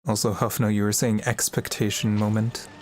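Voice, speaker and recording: A man's voice: lowish voice, little pitch movement, normal speed, slight background noise. A man livestreaming a game with casual, chatty commentary.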